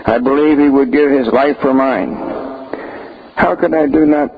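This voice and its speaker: Guy as a humble devoted disciple, solemn and deliberate, church play, dull audio w slight noise. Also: medium pitch, close to an everyday voice. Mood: anguished questioning. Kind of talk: church stage monologue.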